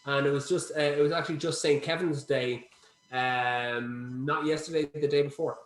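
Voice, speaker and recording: A man's voice: on the high side, steady tone, normal speed. A man from Ireland representing a distillery, chatting casually on a video call and often restarting his sentences. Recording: roomy video call audio.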